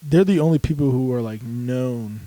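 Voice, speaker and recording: A man's voice: medium pitch, normal speed, mellow, dry recording. A man co-hosting a comedy podcast in casual chat, swearing and joking crudely. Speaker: podcast co-host.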